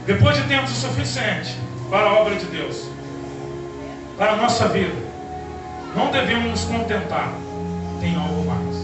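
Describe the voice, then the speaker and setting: A man preaching a sermon, impassioned, swinging between hushed passages and shouted calls while addressing the congregation as brother. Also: high-pitched, animated, normal speed, pauses often. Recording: echoey hall, voice far from the mic, music behind. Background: music.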